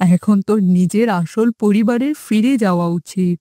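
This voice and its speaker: A woman's voice: mid-range voice, moderate pace, mellow, dry recording, studio quality, close to an everyday voice. A woman voicing a tender, sorrowful village mother in a fairytale cartoon, speaking earnestly. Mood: tender, sad. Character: tender, sorrowful poor woodcutter's wife and mother. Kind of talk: melodramatic fairytale cartoon acting.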